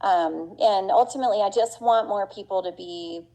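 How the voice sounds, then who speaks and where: Woman, middle-aged, business owner, conference talk, chatty, passionate, many fillers, mellow tone. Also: medium pitch, moderate pace, muffled recording. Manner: earnest and passionate.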